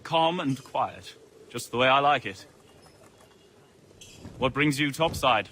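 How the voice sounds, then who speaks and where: An easygoing sailor on night watch in a video game. His voice is bright and medium-pitched, respectful and cautioning, over faint ambient noise. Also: lively intonation, takes it slowly. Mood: calm, cautioning.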